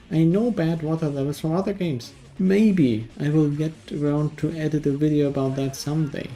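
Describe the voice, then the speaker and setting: A man narrates a scripted game review with dry sarcasm. His voice sits slightly high for a man and has a mellow, relaxed tone. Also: rough recording. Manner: dry, sardonic, sweary.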